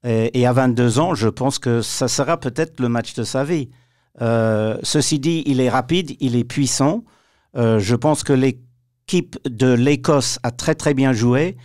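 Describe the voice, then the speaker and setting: A man's voice: medium pitch, a little mellow, no echo, clean studio sound. A man giving his opinions as a pundit in a sports radio discussion.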